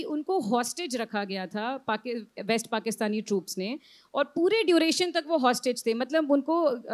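A woman in her thirties with an Indian accent, an author speaking on a festival panel into a handheld mic, reflective and full of fillers, slipping into English phrases. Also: a little high. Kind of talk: panel discussion. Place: outdoor literary festival stage.

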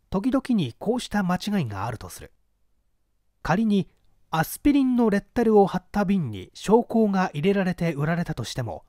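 Man narrating fiction, higher-pitched and very expressive. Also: no echo, studio quality. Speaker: audiobook reader, narrator of classic mystery fiction. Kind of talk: scripted literary narration.

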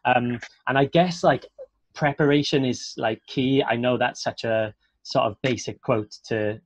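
A young man speaks with a Welsh accent in a relaxed, rambling way, full of fillers and restarts. He is on a video call through an earphone mic, so the sound is dry and a little dull. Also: medium pitch, a little slow, no echo. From Wales. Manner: chatty, many fillers and restarts. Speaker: actor, guest speaker. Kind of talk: casual video-call interview.

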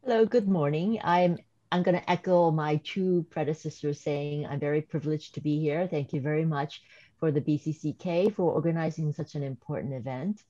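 A woman in her fifties with a slight Korean accent speaks on a webinar panel in a low, mellow voice, measured and thoughtful. She talks over a video call, and the sound is dry and slightly muffled. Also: steady tone, no echo. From South Korea. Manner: measured, thoughtful, some restarts. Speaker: professor and panelist. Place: home or office video call.

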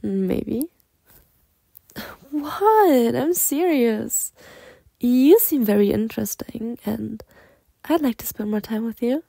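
A flirtatious lamia played by a woman in an ASMR roleplay, speaking in a fairly high, soft and mellow voice. She takes her time, coaxing and teasing the listener with easy confidence. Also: animated, slow pace, gravelly, pauses often, no room sound, full character voice. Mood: coaxing, playful. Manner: flirtatious, coaxing, breaking into soft giggles and whispered asides.

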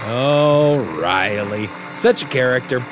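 A man with an American accent hosting and narrating in a lively scripted storytelling style. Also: mid-range voice, slow pace, over loud music, rough recording. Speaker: podcast host and narrator.

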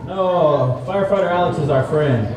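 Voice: medium pitch, moderate pace. A presenter of a children's fire safety puppet show, explaining things simply and asking the audience questions. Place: large indoor mall, heard from far off. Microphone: far camera. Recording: distant echoey mall hall, noisy crowd.

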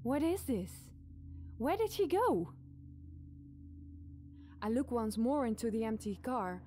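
A woman telling a scary campfire story in a suspenseful, deliberate, dramatic narration. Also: fairly high pitch, sing-song, mellow, faint music behind. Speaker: podcast storyteller.

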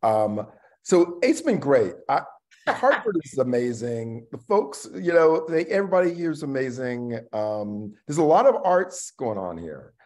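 Man, middle-aged, medium pitch, thoughtful, halting delivery, casual conversation on a video call. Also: very animated, a little mellow. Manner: halting, reflective, trailing phrases. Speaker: actor and theatre artistic director. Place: indoor room at home, video call. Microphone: video call microphone.

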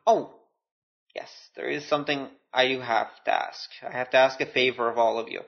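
A man's voice: mid-range voice, steady tone, normal speed, gravelly, muffled recording. A man hosting a podcast solo, talking informally with self-deprecating, joking asides. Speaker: podcast host. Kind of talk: casual solo podcast talk.

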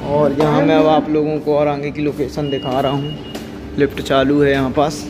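A man's voice: a little high, moderate pace, over music. Young man narrating a mall tour. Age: young adult. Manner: repetitive, even-toned tour commentary. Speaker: vlogger. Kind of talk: casual walking-tour vlog narration. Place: large indoor mall and parking at night. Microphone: handheld phone camera.

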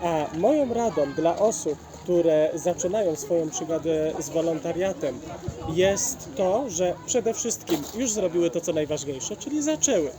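A man with a high voice speaks fluently into an interview microphone, offering encouraging advice. A busy outdoor crowd is clearly audible. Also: expressive, unhurried. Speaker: organisation representative, interviewee. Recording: outdoor event with crowd noise.

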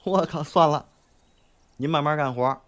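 A man's voice: a little high, a little slow, a bit bright, a little hiss or hum. A man acting a cheeky, grumbling sidekick in an audio drama, slangy and exasperated as he teases his boss. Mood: teasing, grumbling.

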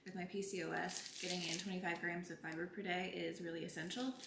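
A woman's voice: on the low side, steady tone, fast, vocal fry, echoey room, noisy background. A young woman in her twenties vlogging casually, chatting upbeat about products and meal prep in her car and kitchen. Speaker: lifestyle vlogger. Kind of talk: casual vlog chat to camera. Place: parked car, then home kitchen.

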